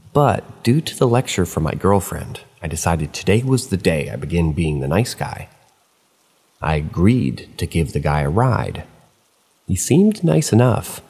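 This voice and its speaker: A man reading first-person stories aloud at a steady pace, slipping in dry asides of his own. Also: sits low, husky, long reverb tail. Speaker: narrator of online horror stories.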